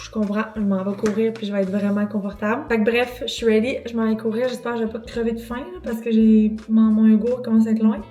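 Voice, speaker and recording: Female vlogger, Quebec French accent, higher-pitched voice, informal rambling chat full of slang and English borrowings. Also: darkish tone. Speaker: vlogger and entrepreneur. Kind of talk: casual vlog chat.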